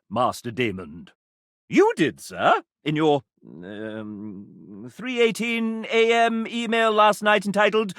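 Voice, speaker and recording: An actor playing a flamboyant, formal dating game show host in a video game, with a theatrical, courtly and exaggerated delivery. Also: male voice, high-pitched, a bit bright, no room sound, studio quality, heavily acted. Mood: flustered, deferential.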